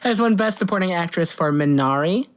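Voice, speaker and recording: Giggly low-voiced woman podcast host. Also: expressive, fairly quick. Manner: giggly, profane, easily amused. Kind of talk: casual banter, reading out award winners.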